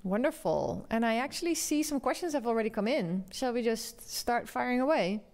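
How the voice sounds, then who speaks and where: A woman's voice: on the high side, lively intonation, brisk, darkish tone. A woman hosting and moderating a panel, inviting guests and reading out questions in a friendly, guiding way. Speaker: host and moderator. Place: bright studio set. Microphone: desk microphone.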